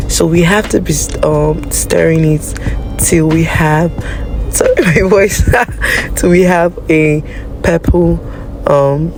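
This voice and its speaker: Hoarse, raspy Nigerian woman teacher. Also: deep voice, poor audio. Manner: chatty and apologetic, with many fillers. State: hoarse from a flu. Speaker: biology teacher. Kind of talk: casual lab-practical vlog narration. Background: background music.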